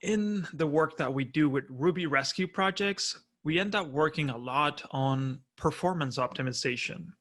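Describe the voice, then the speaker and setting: Bright-voiced Argentine man giving a talk. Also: fairly high pitch. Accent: Argentine Spanish. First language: Spanish. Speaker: conference speaker. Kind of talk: prerecorded conference talk.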